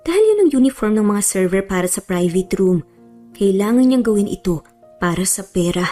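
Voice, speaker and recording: A woman's voice: mid-range voice, animated, fairly quick, mellow, faint music behind. A woman reading scripted romance story narration in a colloquial, chatty storytelling way.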